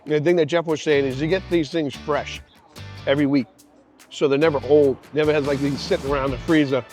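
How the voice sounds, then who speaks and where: A man with a Boston accent hosts a casual food vlog, talking in a loose, rambling and enthusiastic way. His voice sits a little high for a man, and soft music plays faintly behind him. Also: fairly even intonation, a little fast. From Boston area. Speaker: travel show host. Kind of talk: casual travel vlog chat.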